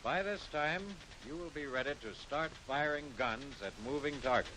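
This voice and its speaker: American guy doing 40s army training film narration, kinda high voice, talks straight to the trainees, bit of old soundtrack noise. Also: normal speed. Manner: instructional, addressing trainees directly. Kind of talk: 1940s instructional film narration, scripted. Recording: noisy 1940s film soundtrack.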